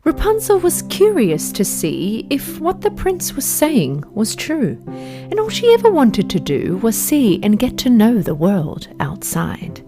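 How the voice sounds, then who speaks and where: A woman reading a children's fairy tale in an expressive, measured storybook manner. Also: fairly high pitch, over music.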